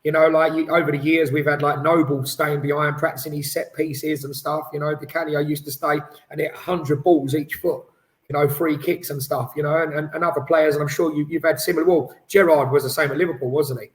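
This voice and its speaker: Male podcast co-host, slightly high voice, animated and rambling, casual studio chat. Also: fairly quick, rough recording. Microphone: headphones on, studio mic.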